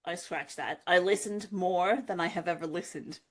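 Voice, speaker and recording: A woman's voice: lowish voice, moderate pace. A woman co-hosting a casual book chat, talking in a wry, jokey way. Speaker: book club podcast co-host.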